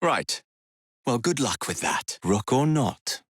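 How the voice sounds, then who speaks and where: A flippant young Victorian assassin with an English accent. His delivery is animated and sardonic, full of swagger for a video game. The sound is very dry and clean of room. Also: medium pitch, slow pace, slightly rough, no room sound, full character voice. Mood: wry, dry. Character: cocky, irreverent young Victorian London gang-leader assassin, man. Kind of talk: video game character voice lines.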